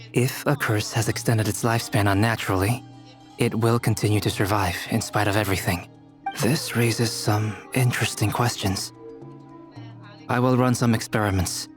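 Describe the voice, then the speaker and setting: A man's voice: lowish voice, close to an everyday voice. A man voicing a composed, scholarly young researcher in an anime game, precise and formal.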